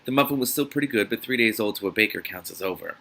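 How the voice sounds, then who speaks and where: A man reading a novel aloud as host of a reaction series, in a dramatic, expressive manner. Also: fairly low pitch, quick pace, vocal fry.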